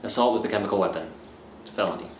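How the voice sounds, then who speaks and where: An actor playing a blunt, sardonic police officer in a radio thriller, with a dry, authoritative manner. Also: male voice, on the low side, racing, close to an everyday voice. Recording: echoey room with faint noise.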